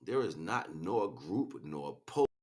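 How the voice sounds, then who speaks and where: Low-voiced man in emphatic spiritual monologue. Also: big pitch swings, takes it slowly. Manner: emphatic, swinging between hushed asides and loud declarations; preachy, grandiose, repeats phrases for weight. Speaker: spiritual speaker, streamer. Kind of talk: impassioned improvised spiritual monologue.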